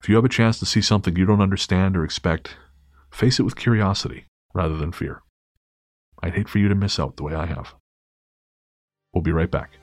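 A man's voice: low-pitched, fairly quick, pauses often, a little mellow, no echo, clean studio sound. A man hosting a podcast, talking in a thoughtful, candid, self-deprecating way. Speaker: podcast host. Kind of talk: casual podcast chat and read-out story.

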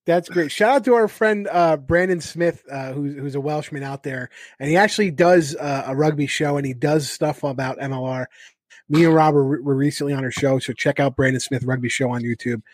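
A man's voice: on the high side, steady tone, a little fast, dry recording. Enthusiastic podcast host in his thirties. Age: 30s. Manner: laughing, enthusiastic interviewer. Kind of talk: casual interview chat.

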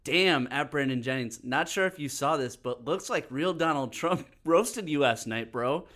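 A man's voice: fairly high pitch, lively intonation, normal speed, fairly bright tone. A man co-hosting a podcast in casual, teasing, joking banter. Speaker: podcast co-host.

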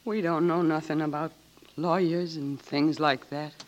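An actress plays a middle-aged country mother in a 1940s radio drama. She is humble and speaks haltingly in plain rustic words, in a low voice. The old recording has faint noise and clicks. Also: steady tone, dull audio. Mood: grateful, shy.

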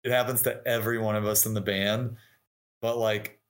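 A man's voice: lowish voice, level tone, moderate pace. A middle-aged man talking casually and at length as an interview guest, explaining his craft as a musician and acting out imagined quotes. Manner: informal and talkative, voicing imagined quotes.

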